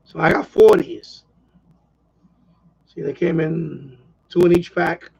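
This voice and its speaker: A man's voice: fairly high pitch, slow, gravelly, darkish tone, slightly rough, poor audio. A man hosting a hobby live stream, chatting casually in a rambling way and often repeating himself.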